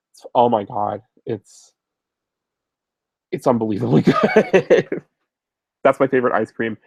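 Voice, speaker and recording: A man's voice: medium pitch, no room sound, muffled recording. A man in his thirties, a little sick and sniffling, casually answering viewer questions as host, rambling with lots of fillers. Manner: rambling, many fillers and restarts. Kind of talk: casual chat.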